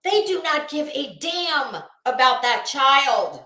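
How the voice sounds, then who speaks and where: Female livestream host: high, bright voice, unhurried delivery, scornful and sarcastic, casual commentary straight to viewers, echoey room. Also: very animated. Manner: blunt, sarcastic, scornful, swearing freely.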